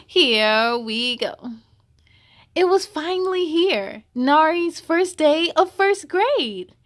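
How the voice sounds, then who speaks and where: A woman with a high voice hosts story time for children. She takes her time, reading picture-book lines with lots of expression and speaking directly to her young audience in a sing-song way. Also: expressive, slow pace.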